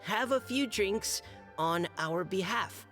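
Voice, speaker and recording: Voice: medium pitch, animated, takes it slowly, a bit bright, faint music behind. An actor voicing a shy, nervous young knight boy in a video game, polite and hesitant, with a stammer. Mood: formal, reading aloud.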